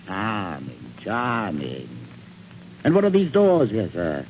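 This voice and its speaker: Pompous, wildly swooping genteel villain. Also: male voice, fairly low pitch, wildly swooping pitch, darkish tone, full character voice. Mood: imperious, smug. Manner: pompous, florid, drawn-out theatrical phrasing. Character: pompous, gouty villain, genteel, gleefully sinister older gentleman. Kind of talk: 1940s radio drama acting. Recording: muffled, hissy 1940s radio recording.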